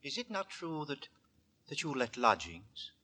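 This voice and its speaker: An actor playing a weary, secretive, quietly menacing gentleman lodger in a formal, halting, repetitive manner, in 1940s radio horror style. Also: mid-range voice, big pitch swings, very noisy. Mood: guarded, uneasy. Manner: formal, halting, repeats his words. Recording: vintage 1940s radio broadcast recording.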